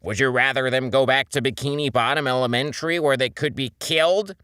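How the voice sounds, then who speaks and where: A man's voice: mid-range voice, very animated, a little slow, bright, forceful tone, clear, resonant tone, no room sound, clean studio sound, voice pushed up. A man voicing an irritable, exasperated adult male squid who scolds with indignation in a storybook reading.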